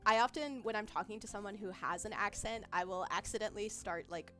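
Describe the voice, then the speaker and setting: A woman's voice: medium pitch, a little fast, bright, forceful tone. Giggly young woman chatting on stream. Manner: giggly, rambling, many fillers. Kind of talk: casual livestream chat, reading chat. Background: faint background music.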